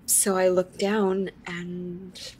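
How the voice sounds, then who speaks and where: A woman's voice: on the low side, flat delivery, drawn-out words, darkish tone, a little hiss or hum. An actress playing a shaken, awed woman recounting her newfound magical power in a live audio drama. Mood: hushed wonder. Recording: live theater stage recording.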